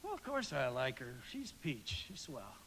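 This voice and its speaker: A man's voice: medium pitch, huge pitch swings, moderate pace, a bit bright. An American man acting in a live radio play as a flustered, stubborn young man who stammers defensively about courtship. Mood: awkward. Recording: very noisy live stage radio recording.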